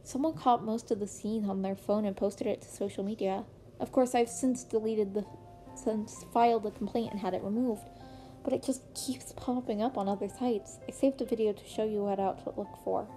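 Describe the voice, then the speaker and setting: Woman as concerned male teacher, earnest, loyal, mellow tone, story reading, music underneath. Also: medium pitch, fairly even intonation, moderate pace, natural voice. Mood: worried.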